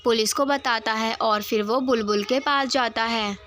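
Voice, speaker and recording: A woman storyteller with a fairly high voice narrates a children's cartoon tale from a script. There is faint noise behind her. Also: steady tone, normal speed, a bit bright.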